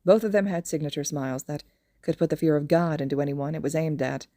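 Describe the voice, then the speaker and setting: A woman's voice: deep voice, fast, warm tone, no echo, clean studio sound. A woman narrating a scripted fan fiction audio story.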